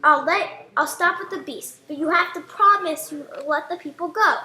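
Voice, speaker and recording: A woman's voice: high-pitched, moderate pace, bright, forceful tone, roomy sound. A woman voicing a panicked, stubborn Pharaoh who exclaims and pleads in an amateur puppet show. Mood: exasperated.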